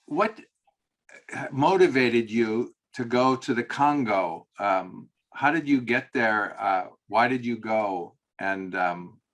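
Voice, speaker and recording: A man's voice: medium pitch, lively intonation, slow pace, fairly bright tone. A man hosting an interview, asking loose, rambling questions with many fillers. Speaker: interviewer host.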